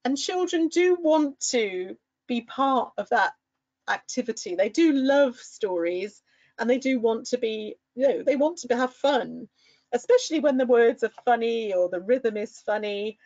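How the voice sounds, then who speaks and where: A middle-aged woman giving an encouraging talk to fellow English teachers, with lively bursts when quoting pupils. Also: fairly high pitch, unhurried, dry recording, highs cut off.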